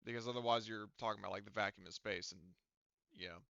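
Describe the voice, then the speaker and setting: Male game master, bright gravelly voice, casual and explanatory, slightly dull dry recording. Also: on the low side, steady tone, fast talker, no room sound. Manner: explanatory, thinking aloud. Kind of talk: casual tabletop game session talk.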